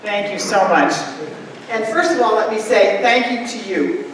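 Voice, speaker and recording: A middle-aged woman answering as a candidate at a forum, emphatic and forceful, into a handheld mic. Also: mid-range voice, noisy background. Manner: emphatic, gesturing, fast and forceful. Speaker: political candidate. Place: large school gym, indoors. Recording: echoey gym from far off, crowd murmur.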